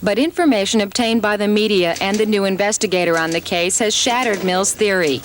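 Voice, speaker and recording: An American woman reports for local television news in a medium-pitched voice. Her delivery is scripted, in the manner of a 1980s field reporter. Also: a little fast.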